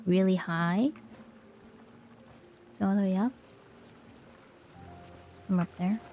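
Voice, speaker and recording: A woman's voice: medium pitch, slow, dull audio, faint music behind. A woman streamer giving casual gameplay commentary, murmuring to herself as she plays. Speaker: game streamer.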